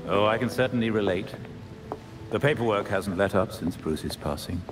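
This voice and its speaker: An actor playing a dignified, loyal elderly British butler in a game cutscene, speaking in a measured, courteous, dry way. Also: male voice, fairly low pitch, over music, close to an everyday voice. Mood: solemn. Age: older. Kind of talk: video game cutscene acting.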